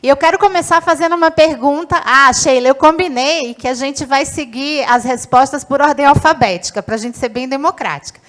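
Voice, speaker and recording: Higher-voiced woman giving an emphatic little talk then running the panel. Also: a little fast, few pauses. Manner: emphatic, lively. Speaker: moderator and speaker.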